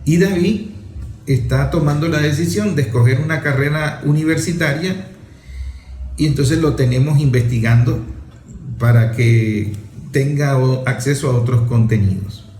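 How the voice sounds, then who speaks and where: A man's voice: mid-range voice, moderate pace, a little mellow, roomy sound. A father in his forties speaking earnestly and emphatically, repeating phrases and listing examples for effect. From Venezuela. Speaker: father and author speaking on a talk show. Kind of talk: earnest conversational commentary.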